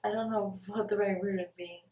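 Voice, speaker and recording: A woman's voice: mid-range voice, fairly even intonation, fairly quick, mellow. A young woman from Brazil talking earnestly to camera in a personal vlog, stopping now and then to search for the right word. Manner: earnest and searching, stops to look for words. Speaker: spiritual vlogger. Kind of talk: reflective personal talk to camera. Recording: roomy, mic at a distance.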